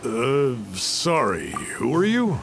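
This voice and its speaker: Touchy, wary townsman for a game: mid-range voice, lively inflection. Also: mid-range voice, takes it slowly, noisy background, full character voice. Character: wary, easily offended healthy townsman. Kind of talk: video game character acting.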